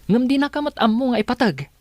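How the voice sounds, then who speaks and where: A man's voice: extremely high pitch, sing-song, fast talker, no echo. An actor playing a concerned, persistent young male neighbour in a radio drama, with an earnest, insistent delivery. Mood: earnest warning.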